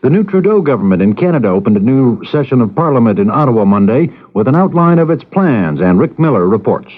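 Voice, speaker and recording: A man reading scripted news briskly as a radio anchor. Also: medium pitch, very animated. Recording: phone-like narrow-band radio recording.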